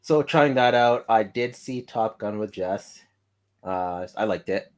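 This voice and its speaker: Co-host, male, relaxed, easygoing, slightly low voice. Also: slow pace. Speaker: podcast co-host. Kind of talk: casual chat.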